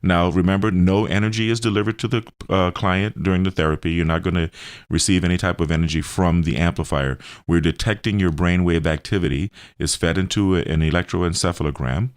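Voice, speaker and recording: A man with an extremely low voice and an American accent, recorded close and dry. He speaks as a radio host on health, laying out explanations simply, one step after another. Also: steady tone, brisk, clean tone, dry recording. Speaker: radio show host and health center owner.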